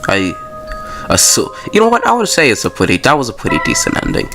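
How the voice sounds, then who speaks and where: A man with a mid-pitched voice talks through a game playthrough. He is informal and slangy, switching between reading the story aloud and reacting to it. There is faint noise behind his voice. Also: huge pitch swings, quick pace, creaky. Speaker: gaming video host. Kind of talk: casual let's play commentary mixed with reading game text aloud.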